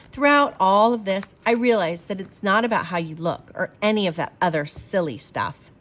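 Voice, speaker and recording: A woman reading a picture book aloud expressively, in an animated way, acting out little exclamations and adding brief asides. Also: on the low side. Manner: animated, acts out exclamations and sound effects. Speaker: storybook reader.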